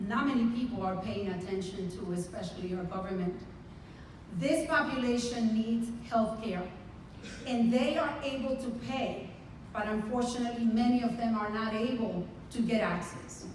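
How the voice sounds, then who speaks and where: A woman's voice: on the high side, slight background noise. A woman, speaking earnestly and proudly as a medical center CEO on a conference panel. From Dominican Republic. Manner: earnest and heartfelt, speaks with pride. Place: large conference hall. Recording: very echoey hall from far off.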